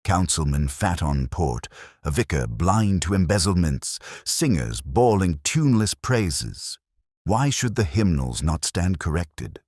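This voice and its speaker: A man's voice: low voice, sing-song, unhurried, a little mellow, no echo, clean studio sound, heavily acted. A man voicing a proud, bitter woman librarian in a mystery story, with a haughty, grandiose manner. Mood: bitter contempt.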